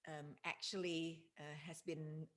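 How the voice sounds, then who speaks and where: A middle-aged woman from Indonesia hosting a casual podcast interview, enthusiastic and quick to laugh. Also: low voice, little pitch movement. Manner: enthusiastic, laughs often, warm affirmations. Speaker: podcast host, relationship coach.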